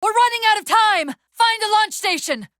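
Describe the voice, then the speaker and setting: An actress playing a female military AI companion in a video game, urgent and witty but fraying under strain, with crisp tactical delivery and dry sarcasm. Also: extremely high pitch, expressive, normal speed, a bit bright, no echo. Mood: urgent, commanding. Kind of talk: dramatic game voice acting.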